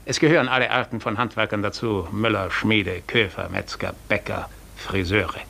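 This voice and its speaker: A vain and tyrannical count holds forth in a 1950s radio play. His voice is fairly low with a gravelly creak, and he speaks in a measured, self-satisfied, lordly manner. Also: fairly even intonation, sharp tone, a little hiss or hum. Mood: lordly, displeased. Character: tyrannical, vain, ugly Russian count, master of serfs.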